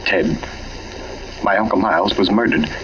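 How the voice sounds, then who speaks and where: Actor as a halting, troubled man, noisy old radio. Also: deep voice, lightly acted. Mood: grave, troubled. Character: troubled, hesitant man confiding a family murder. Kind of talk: 1940s radio mystery acting. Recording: muffled, noisy 1940s radio recording.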